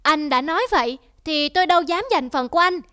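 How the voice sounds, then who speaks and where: A woman's voice: sits high, fast talker, pushed and bright, no room sound, studio quality. A woman voicing a sly, polite parrot in a children's cartoon, speaking in a coaxing way. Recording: music behind the voice.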